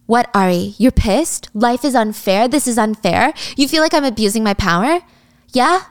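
A woman's voice: on the high side, expressive, moderate pace, no room sound, clean studio sound, close to an everyday voice. A woman voicing a mean young female influencer in a story, condescending and sarcastic. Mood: scornful rant.

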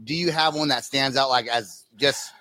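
A man's voice: mid-range voice, moderate pace, bright, forceful tone, no echo. A man hosting a podcast at a convention, asking loose rambling questions and laughing often. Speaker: podcast host. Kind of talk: casual interview questions. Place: pop culture convention.

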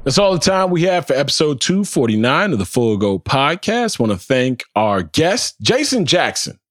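An American man with a slightly high voice in a dry, close recording. He hosts a sports podcast in a casual, opinionated way. His talk is blunt, joking and slangy, with some swearing and repetition for emphasis. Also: sing-song, normal speed, no room sound. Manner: repeats phrases for emphasis.

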